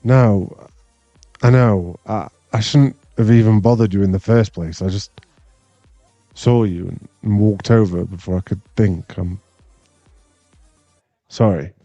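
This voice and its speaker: A man playing an awkward, tender former bully of nearly thirty in an intimate ASMR boyfriend roleplay, speaking with halting sincerity. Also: fairly low pitch, dry recording, highs cut off, faint music behind. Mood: apologetic, hesitant. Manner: hesitant, halting, soft-spoken sincerity.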